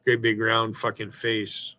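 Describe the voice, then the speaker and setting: A man's voice: on the low side, monotone, a little slow, pushed and bright. A man hosting a livestream, rambling in a profane, combative, off-the-cuff rant. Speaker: livestream host. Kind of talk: profane ranting chat.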